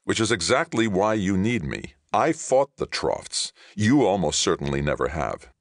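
A man voicing a calm, resolute veteran soldier in audiobook dialogue, measured and decisive. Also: rumbling low, dry recording, clean studio sound.

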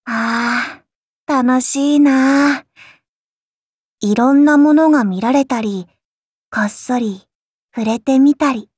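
A woman voicing a gentle, curious, dreamy young boy space traveler for an anime mobile game, with soft childlike wonder. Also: high voice, takes it slowly, pauses often, slightly rough, no echo, studio quality, exaggerated voice. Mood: delighted. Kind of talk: Japanese anime mobile game character voice lines.

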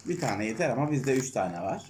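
A man's voice: mid-range voice, big pitch swings, fairly quick, a little mellow, slight background noise. A man at home, patiently coaxing his daughter through an experiment with leading questions. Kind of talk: casual home video chat. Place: home, indoors.

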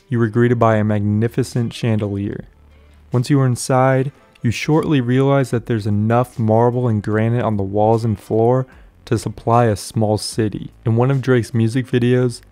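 A man's voice: mid-range voice, mellow, no room sound. A man reading a scripted voice-over narration for a luxury house tour video, in an upbeat promotional manner.

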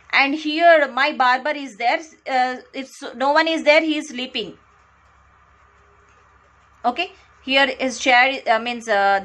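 A woman with an Indian English accent giving a whiteboard lecture, repeating phrases and pausing on fillers as she draws. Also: sits high, fairly bright tone. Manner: many fillers and restarts, repeats phrases to stress points. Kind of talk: whiteboard lecture, explaining while drawing. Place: indoors at a whiteboard. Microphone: close mic, speaker off camera, only hands seen writing.

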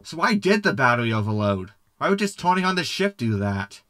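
A man's voice: medium pitch, sing-song, a little fast, fairly bright tone, clean tone. A man playing a sardonic, know-it-all hologram in a sci-fi sitcom audio drama, pompous and wordy. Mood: irritated.

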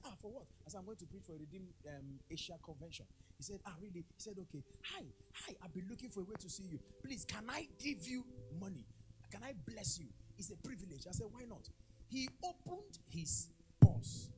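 A man with a Ghanaian English accent preaching a sermon, telling a story with acted dialogue and breaking into shouts. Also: high voice, rough recording. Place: church service.

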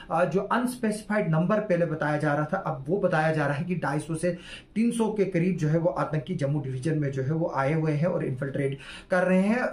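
A man's voice: fairly high pitch, darkish tone. A man, giving solo news commentary to camera with many hesitant fillers. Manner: hesitant, heavy fillers, earnest. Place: small indoor room with curtain backdrop. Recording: echoey room.

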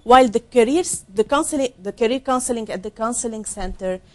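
Middle-aged woman, soft and earnest, talking career counseling in a tv studio. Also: on the high side, fairly quick. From Lebanon. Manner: soft-spoken, earnest, explanatory. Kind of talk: studio interview. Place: small TV studio set, seated at a desk. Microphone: lapel mic.

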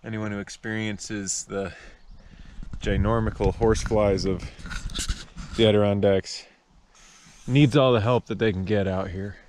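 A man in his thirties casually narrating a how-to at a wooded campsite, chatty and relaxed, talking to viewers as guys. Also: lowish voice, a little slow, pauses often, a little hiss or hum. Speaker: fishing and camping vlogger. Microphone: wearable action camera.

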